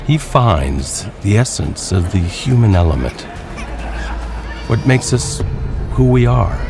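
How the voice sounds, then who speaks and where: A low-voiced man narrates a horror tale over music. He takes his time, pausing for suspense, and his pitch swings widely as he builds the drama. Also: gravelly. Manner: deliberate, suspenseful, dramatic pauses. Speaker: narrator of an audio horror story.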